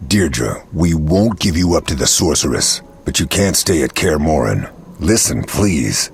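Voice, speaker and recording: An actor playing an earnest, honour-bound monster hunter in a video game, gravelly and subdued. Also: male voice, extremely low pitch, lively intonation, measured pace, a little hiss or hum, exaggerated voice. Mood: conflicted, earnest.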